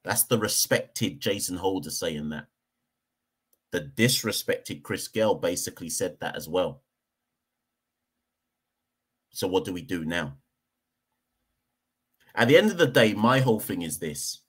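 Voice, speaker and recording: Emphatic man, lively low voice. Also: unhurried, pauses often, husky. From Jamaica. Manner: emphatic, repeats points for stress. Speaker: cricket podcast host. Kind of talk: heated opinion commentary.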